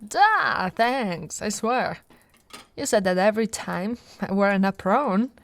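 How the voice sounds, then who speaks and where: A woman from Italy playing an affectionate, teasing female orc warrior in an intimate, soft-spoken ASMR roleplay. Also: mid-range voice, animated, takes it slowly. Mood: flirty, teasing. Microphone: close, dry microphone.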